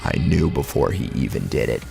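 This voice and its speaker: Deep, gravelly male horror narrator. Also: expressive, moderate pace. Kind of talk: dramatic scripted first-person narration. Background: background music.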